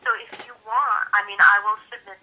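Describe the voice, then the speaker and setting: Lady operator on a phone line, super bright sharp voice, polite, explaining procedure. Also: medium pitch, steady tone, a little fast, faint music behind. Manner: polite, instructive, step-by-step. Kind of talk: procedural phone customer service. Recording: thin, sharp telephone line. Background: heard over a phone call.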